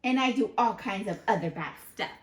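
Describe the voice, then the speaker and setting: Woman plays little bad seed trying to reform, earnest confessional storybook read, mid pitch, roomy sound. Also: animated, a little fast, lightly acted. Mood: hopeful, sheepish.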